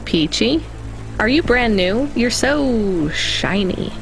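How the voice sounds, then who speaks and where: A young woman driving for a delivery outfit in a video game. Her voice sits a little high and she talks drily, with sarcasm and care. Music plays clearly behind her. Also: expressive, slow, exaggerated voice, rough recording. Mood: friendly, curious. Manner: dry, sarcastic. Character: young female delivery driver, wry and caring.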